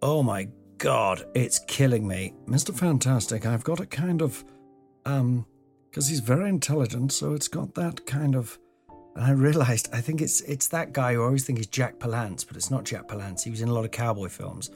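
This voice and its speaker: A man hosting a solo podcast in a room of an old house, slightly croaky, talking in a meandering, self-deprecating way with some swearing, into a mic without a pop shield. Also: medium pitch, moderate pace, a little mellow, faint music behind. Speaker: podcast host. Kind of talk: rambling solo podcast monologue. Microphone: home podcast mic without a pop shield.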